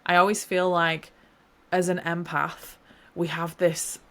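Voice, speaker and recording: A woman's voice: lowish voice, level tone, a little slow, fairly bright tone. A young woman hosting a solo podcast, a little nasal and stuffy from hay fever, talking in an earnest, rambling, heartfelt way. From New Zealand. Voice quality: slightly nasal. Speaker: podcast host. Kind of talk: casual solo podcast talk.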